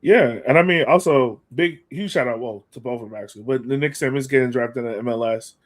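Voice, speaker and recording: A man's voice: mid-range voice, fast. A man hosting a sports fan podcast, chatting casually in an informal, slangy, enthusiastic way. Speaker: podcast host.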